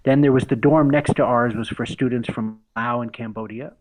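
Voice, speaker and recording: A man's voice: mid-range voice, fairly even intonation, moderate pace, noisy background. A man in his forties from the United States, a podcast guest telling stories in casual conversation, laughing often and restarting with fillers. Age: 40s.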